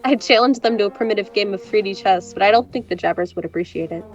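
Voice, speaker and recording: A woman's voice: medium pitch, fast talker, lo-fi sound, soft background music, close to an everyday voice. A young woman playing a brash, sarcastic, teasing friend in a scripted romantic comedy audio drama. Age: young adult. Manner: sardonic, teasing.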